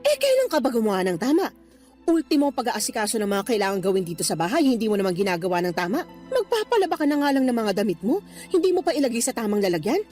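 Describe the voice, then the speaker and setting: Naggy filipino mom in radio soap, suspicious n indignant, talks fast, voice swings all over. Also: female voice, on the high side, big pitch swings, a little mellow, faint music behind. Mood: accusing, nagging. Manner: nagging, accusatory, indignant. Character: suspicious, scolding middle-aged mother of grown son. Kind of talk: Filipino radio drama acting.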